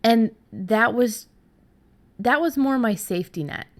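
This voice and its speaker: A middle-aged woman host talking casually and candidly about her own experience, haltingly, as if thinking aloud. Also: on the high side, lively intonation. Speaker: podcast host and fiction writer. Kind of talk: casual conversational storytelling.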